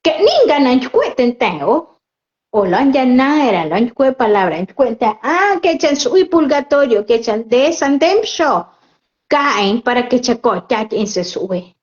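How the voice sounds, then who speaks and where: Slow, earnest Chatino-speaking woman preacher. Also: sits high, big pitch swings. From Oaxaca, Mexico. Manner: unhurried, earnest, chats with viewers between preaching. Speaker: lay preacher live-streaming. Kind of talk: live-stream Bible reflection reading viewer comments. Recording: muffled live-stream audio.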